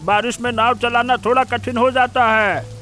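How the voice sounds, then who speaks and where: A man's voice: very high-pitched, fairly even intonation, normal speed, bright, forceful tone, faint music behind. A man playing a contented, proud family father in a cartoon story, affectionate and reflective. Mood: fond.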